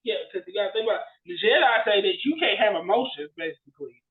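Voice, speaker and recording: A man co-hosting a casual podcast, telling stories and ranting in an animated, slangy, exclamatory way. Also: high-pitched, quick pace, narrow, tinny audio. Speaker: podcast co-host. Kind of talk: casual chat, storytelling and ranting.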